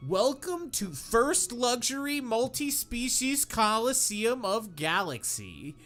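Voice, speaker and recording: A man's voice: very high voice, big pitch swings, slow pace, a bit bright, faint music behind, pitched above the performer's own voice, heavily acted. A man voicing a huge, sleazy alien crime lord making grand announcements. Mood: announcing.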